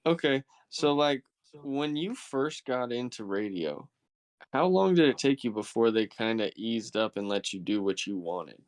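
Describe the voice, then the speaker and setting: A man's voice: medium pitch, expressive, unhurried, no echo. A man asking casual questions as a podcast host. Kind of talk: casual interview.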